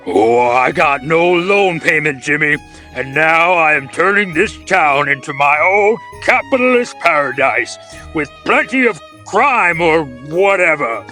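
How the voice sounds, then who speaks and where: A man's voice: a little high, lively intonation, measured pace, pushed and bright, faint music behind, voice pushed down. A man playing a greedy, sneaky old banker villain in a comic radio play, drawling and gloating. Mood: menacing.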